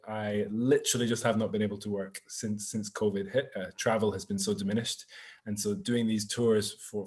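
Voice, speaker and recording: A Scottish man in his thirties, a tour guide giving a relaxed, chatty virtual tour over a video call. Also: on the low side. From Scotland. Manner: relaxed and chatty, sprinkling in French words. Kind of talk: live virtual tour presentation. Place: home in Marseille.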